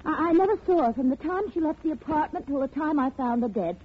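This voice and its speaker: A woman's voice: high-pitched, animated, fast. An actress playing a frightened, slangy, sassy young woman in a 1940s radio crime drama, with an emphatic, pleading way of talking. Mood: anxious, pleading. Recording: tinny, noisy old radio recording.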